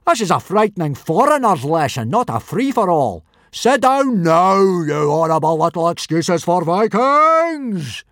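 A man's voice: high voice, very animated, a little slow, hardly any pauses, fairly bright tone, no echo, studio quality, pitched above the performer's own voice, exaggerated voice. A man playing a huge, hairy, blustering Viking teacher in a storybook, roaring and bellowing commands. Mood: commanding.

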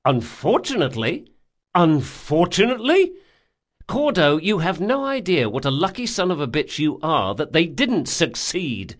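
A man narrating an audiobook, voicing a brash, sardonic thief who speaks forcefully, with menace and exasperation. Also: fairly high pitch, very animated, moderate pace, hardly any pauses, pitched above the performer's own voice. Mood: angry, threatening. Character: brash, sardonic male thief, threatening, foul-mouthed.

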